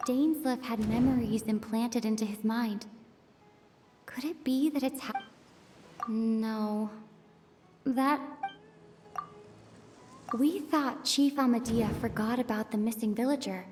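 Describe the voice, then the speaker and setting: A woman playing a serious, analytical young traveler who ponders aloud in a measured way in a video game. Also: fairly high pitch, a little mellow, faint music behind. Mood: puzzled, reasoning. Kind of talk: video game dialogue acting.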